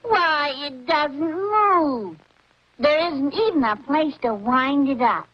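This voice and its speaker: A sulky little boy in an old cartoon, high-pitched and slow with a grumpy, dismissive drawl. The recording is dull and lo-fi. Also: expressive.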